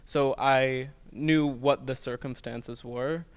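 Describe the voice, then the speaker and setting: A teenage male student actor with an American accent, explaining his process in a measured, conversational way during an interview. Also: medium pitch, steady tone, clean tone. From United States. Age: teens.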